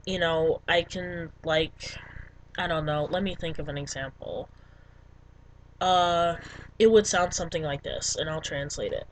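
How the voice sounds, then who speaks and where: A woman's voice: low-pitched, a little slow, a little hiss or hum, low-quality recording. A young adult woman talking casually to camera as a language vlogger, rambling with many ums and likes. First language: English.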